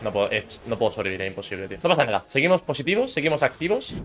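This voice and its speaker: A man in his twenties streaming and commenting on a video game, joking fast with his chat from a small room on a headset mic. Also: mid-range voice, very animated, fairly bright tone, faint music behind. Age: 20s. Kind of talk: live gameplay commentary. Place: small room, gaming chair.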